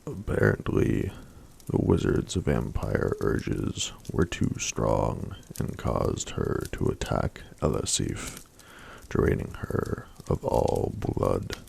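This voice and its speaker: A man reading a fantasy story aloud in a slow, soft-spoken ASMR style, much of it half-whispered. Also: very animated. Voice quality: very deep gravelly voice.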